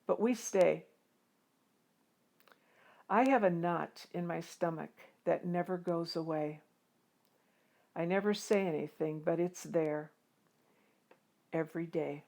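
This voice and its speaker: A woman's voice: lowish voice, slow pace, gravelly, long pauses, a little mellow. A woman voicing an earnest, determined teenage farm boy who tells his own story in a heartfelt storybook reading. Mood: earnest, troubled. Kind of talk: dramatic first-person storybook reading.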